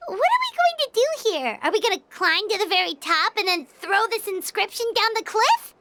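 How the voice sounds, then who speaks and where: A woman voicing a tiny, childlike fairy companion in a video game, squeaky, curious and cheeky. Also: big pitch swings, moderate pace, bright, forceful tone, no room sound, heavily acted. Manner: speaks of herself in third person, inquisitive.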